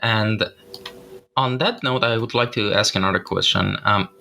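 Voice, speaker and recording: A man hosting a podcast interview, friendly and quick with repeated affirmations. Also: lowish voice, gravelly, bright, forceful tone, slight background noise. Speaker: podcast host.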